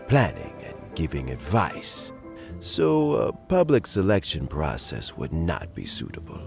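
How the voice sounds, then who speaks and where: A frail elderly statesman for a video game. His very low voice is creaky and swings widely in pitch, yet stays formal, courteous and wry. Music plays clearly behind him. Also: full character voice. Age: older. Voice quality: creaky, aged voice. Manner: formal, measured, deliberate phrasing. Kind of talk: scripted video game dialogue.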